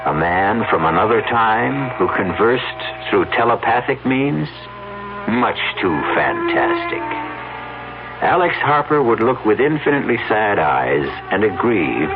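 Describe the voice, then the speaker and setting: A man's voice: on the low side, big pitch swings, unhurried. A man narrating a 1970s radio drama as host, in a dramatic scripted storytelling style. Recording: tinny old radio broadcast. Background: prominent music.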